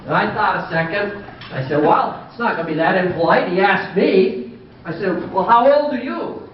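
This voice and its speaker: A man's voice: high voice, lively intonation, normal speed, slight background noise. Folksy older man speaking in an echoing hall. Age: 60s. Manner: folksy storyteller, dry humor. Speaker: business owner giving a banquet speech. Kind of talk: anecdotal after-dinner speech. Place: banquet hall. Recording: distant echoey hall, muffled.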